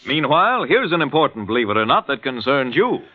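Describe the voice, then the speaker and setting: A man's voice: mid-range voice, big pitch swings, a little fast, sharp tone, poor audio. A man working as announcer on a 1940s radio show, with scripted announcements and banter.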